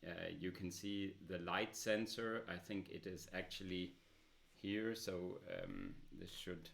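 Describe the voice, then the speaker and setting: A man with a loud voice presenting a smartphone app in a casual demo, with many filler pauses. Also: low-pitched, animated, creaky.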